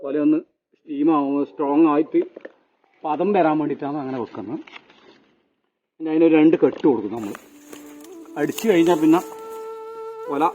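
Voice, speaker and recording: A man's voice: on the high side, brisk, pauses often, warm tone. A man with a northern Kerala Kannur accent, a toddy tapper informally explaining his trade hands-on. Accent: northern Kerala (Kannur) Malayalam. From Kannur region of Kerala, India. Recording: music behind the voice. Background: instrumental background music.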